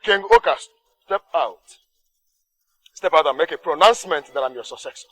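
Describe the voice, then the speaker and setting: A man's voice: medium pitch, animated, brisk, creaky, fairly bright tone, breathy edge, no echo, full character voice. An actor playing an anxious young prince who pleads and urges the king to hurry. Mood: urgent.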